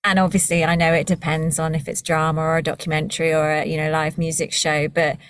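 A woman hosting a relaxed conversational interview, encouraging and a little tentative as she words her questions. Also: low-pitched, quick pace, smooth, no echo. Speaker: interviewer, podcast host.

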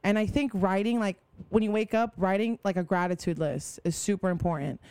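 Smooth-voiced chatty young woman guest. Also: medium pitch, a little fast, mellow, dry recording. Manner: enthusiastic, rambling, many "like" fillers. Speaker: podcast guest. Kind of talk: casual conversation.

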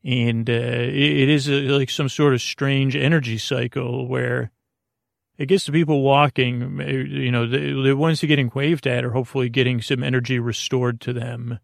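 Creaky-voiced man rambling a bedtime monologue. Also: medium pitch, little pitch movement, no room sound, clean studio sound. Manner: meandering, halting, frequent tangents; soothing, comforting, gently silly. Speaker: podcast host. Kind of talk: rambling bedtime monologue to lull listeners to sleep.

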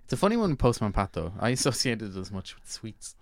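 A man's voice: lowish voice, very animated, fast, vocal fry, no echo. A man with an Irish accent hosting a film discussion in a casual, conversational, hedging way. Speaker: podcast host.

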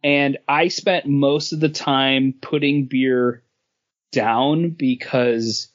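A man's voice: mid-range voice, steady tone, drawn-out words, highs cut off. A man co-hosting a podcast, talking casually with his friends. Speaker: podcast co-host. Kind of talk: casual panel chat.

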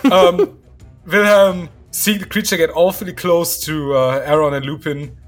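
A man playing a tabletop game, chatting in casual, excitable banter with plenty of swearing. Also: a little high, a little slow. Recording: music playing under the voice.

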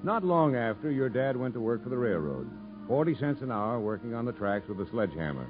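A man's voice: mid-range voice, big pitch swings, fairly quick, close to an everyday voice. A man acts a reflective, kindly narrator from 1950s radio drama. His mid-range voice rises and falls expressively as he tells a family tale, with music behind and a muffled vintage sound. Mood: storytelling. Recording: dull 1950s radio broadcast recording.

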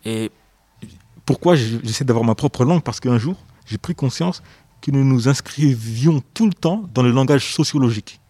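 A man's voice: medium pitch, animated, normal speed, mellow, slightly rough, no room sound. A young man, an author being interviewed on the radio, speaking thoughtfully and hesitantly, often repeating words as he searches for phrasing. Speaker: author guest.

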